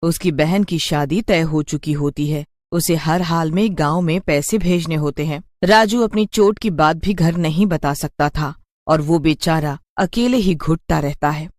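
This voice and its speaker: A narrator reading a scripted cartoon story in an expressive storytelling manner. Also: mid-range voice, unhurried, no room sound.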